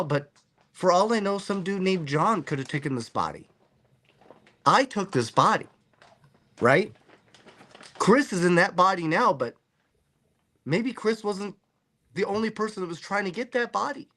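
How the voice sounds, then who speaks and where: A man hosting a podcast in casual chat, animated and joking as he rambles through his musings. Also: on the high side. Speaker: podcast host.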